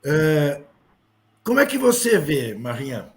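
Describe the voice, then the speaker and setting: A Brazilian man hosting a sports debate, talking in a measured, conversational way and calmly correcting a co-host. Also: on the high side. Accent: Brazilian Portuguese. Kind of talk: studio debate moderation.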